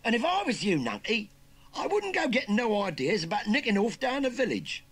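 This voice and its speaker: A young Cockney scarecrow lad for a storybook. The voice is pitched well up and raspy, swooping about as he jeers and bosses. He is a cocky, menacing little bully. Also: wildly swooping pitch, a little fast, exaggerated voice. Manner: sneering, bossy, jeering.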